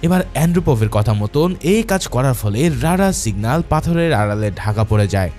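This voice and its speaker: A man's voice: mid-range voice, a little mellow. A man narrating a movie recap from a script in a casual, dramatic storytelling way, addressing viewers informally. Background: background music.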